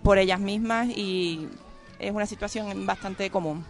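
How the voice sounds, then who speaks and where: A woman's voice: mid-range voice, a little slow, soft background music. A woman from a Caribbean island answering interview questions in a hesitant, conversational way, with many fillers and tag questions. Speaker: guest.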